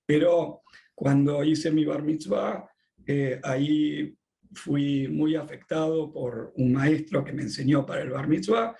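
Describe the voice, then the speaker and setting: A middle-aged Argentine man, a rabbi speaking as a podcast guest, who talks in a measured way with frequent drawn-out "eh" pauses. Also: on the high side, creaky, dark tone. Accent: Argentine Spanish. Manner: measured, many drawn-out "eh" fillers. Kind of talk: thoughtful conversational interview.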